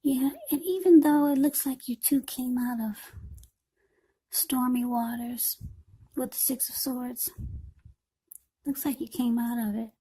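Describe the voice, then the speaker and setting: A woman with a fairly high, very husky and breathy voice gives an informal tarot reading. Her tone is mellow and soft-edged. She talks straight to the viewer in short, broken phrases, repeating words as she goes. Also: fairly even intonation, measured pace, pauses often. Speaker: tarot card reader.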